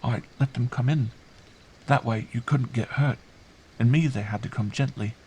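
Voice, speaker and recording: A man's voice: medium pitch, normal speed, slight background noise, pitched above the performer's own voice, lightly acted. A man voicing a bewildered, earnest young girl in an audiobook, trusting and fragile, speaking simply. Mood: tender, earnest. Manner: hushed, simple, earnest delivery. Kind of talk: audiobook character voice.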